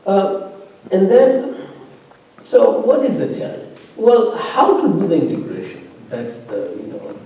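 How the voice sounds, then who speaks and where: A man's voice: high-pitched, wildly swooping pitch, a little slow, lo-fi sound. A man serving as faculty opponent at a thesis defense, talking through it discursively with many fillers and restarts, heard from a distance in a large lecture room. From immigrant living in Norway. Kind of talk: spoken academic commentary. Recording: distant, roomy lecture-hall sound.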